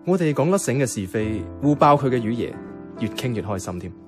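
Radio drama lead: male actor as wry, low-key young Hong Kong flatmate, animated intonation, casual narration over prominent music. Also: fairly quick, close to an everyday voice. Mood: chatty, relaxed. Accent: Hong Kong Cantonese. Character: mild, wry young man, reluctant flatmate narrating his life. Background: background music.

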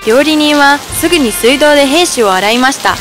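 A woman narrates a scripted fairy tale for children. Her voice is on the high side. Music plays clearly behind her. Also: expressive, normal speed.

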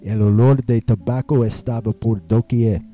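An actor playing a proud, easily offended nineteenth-century German settler in a radio drama, speaking formally and deliberately like a storyteller. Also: male voice, medium pitch, steady tone, highs cut off, soft background music, close to an everyday voice. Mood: indignant, nostalgic.

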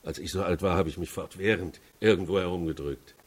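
Worldly middle-aged lawyer, deep, husky, reassuring, casual, radio drama. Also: male voice, steady tone, brisk. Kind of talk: German radio crime drama acting.

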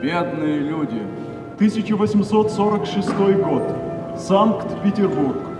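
A male announcer delivers titles theatrically. His voice is high for a man and very breathy, with music behind it. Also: expressive, a little slow, a little mellow.

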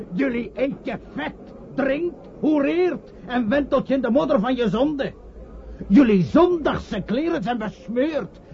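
Casting: fire-and-brimstone street preacher, very high-pitched, swooping, declamatory radio-play delivery. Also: male voice, dark tone, full character voice. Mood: scornful. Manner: solemn, accusing, drawn-out oratory. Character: fanatical male street preacher warning sinners of doom. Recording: muffled, noisy old radio drama recording.

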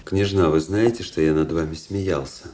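An actor playing a cold, proud young Russian officer in a radio drama, speaking in a measured, formal, restrained way. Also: male voice, sits low, steady tone, darkish tone, natural voice. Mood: cold, resolute.

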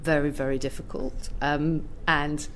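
A woman's voice: low voice, little pitch movement, a little slow, poor audio. A middle-aged woman talking earnestly as an interview guest, often restarting her sentences as she thinks. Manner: hesitant, many restarts, earnest. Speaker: arts curator, interview guest. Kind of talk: thoughtful conversational interview. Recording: faint background noise.

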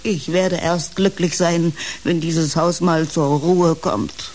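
A motherly, resigned radio-play character. Her voice is on the low side, lamenting and gently admonishing over faint background noise. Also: takes it slowly. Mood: pitying, resigned. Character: pitying, resigned, motherly household woman. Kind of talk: radio drama acting.

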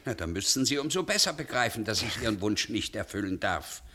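Crisp, officious stickler pharmacist. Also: male voice, fairly low pitch, very animated, a little fast, sharp tone, a little hiss or hum. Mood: stiff, officious. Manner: officious, emphatic, fussy. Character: rigid, conscientious male pharmacist, stubborn stickler for rules. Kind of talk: 1950s German radio play acting. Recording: vintage 1950s radio recording.

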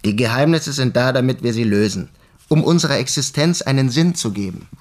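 An actor playing an earnest, persistent scientific investigator in a radio play, speaking in a polite, formal and insistent manner. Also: male voice, mid-range voice, lively intonation, fairly quick, lightly acted.

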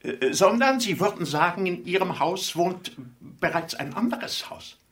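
A self-important male chairman in a radio play, a little away from the microphone. His voice is bright and forceful and swings animatedly in pitch. He is officious and easily flustered, with hesitant fillers breaking up his bluster. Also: animated, recorded from a distance. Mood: baffled, incredulous. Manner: pompous, officious, hesitating with filled pauses, increasingly exasperated. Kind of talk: 1980s German radio drama acting.

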